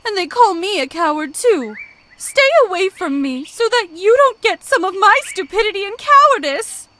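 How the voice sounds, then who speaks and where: A woman voicing a big, wary female ostrich with hurt pride for a children's animated story, questioning and challenging. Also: very high voice, moderate pace, a little hiss or hum, voice pushed up, exaggerated voice. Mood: sad, resentful.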